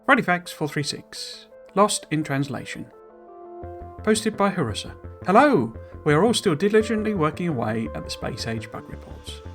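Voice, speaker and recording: A man's voice: fairly high pitch, sing-song, normal speed, a bit bright, soft background music. A man hosting a stream who reads a game developer's blog post aloud and then explains it casually. His asides are loose and hesitant, full of "you know" and restarts, and he is self-deprecating about pronouncing foreign words.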